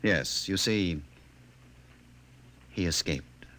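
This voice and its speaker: Fussy, controlling suitor, low and very animated, formal, 1950s radio drama. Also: male voice, very slow, a little hiss or hum, full character voice. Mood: evasive, placating. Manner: suave, condescending, formal. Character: smug, fussy male director of emotional security, controlling suitor.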